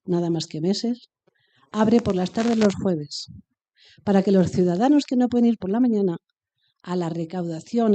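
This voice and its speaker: A woman from Spain, a town treasurer, speaks at a public panel without notes. She starts out halting, then grows earnest and emphatic, and her voice stays warm and soft-edged throughout. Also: mid-range voice, slight background noise. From Aranda de Duero, Spain. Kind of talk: impromptu panel remarks.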